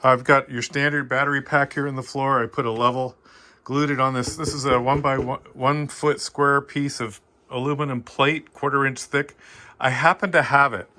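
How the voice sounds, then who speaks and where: A man's voice: mid-range voice, fairly even intonation, moderate pace, bright, forceful tone. A man with a Canadian accent giving a casual, unscripted hobbyist walkthrough in a modest, self-deprecating way. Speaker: amateur radio hobbyist giving a tour.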